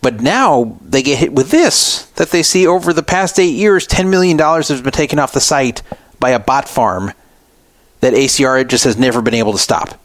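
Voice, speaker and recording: A man's voice: mid-range voice, lively intonation, moderate pace, no echo, studio quality. A man hosting a talk podcast, giving opinionated, explanatory commentary off the cuff. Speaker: podcast radio host. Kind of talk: unscripted poker news commentary.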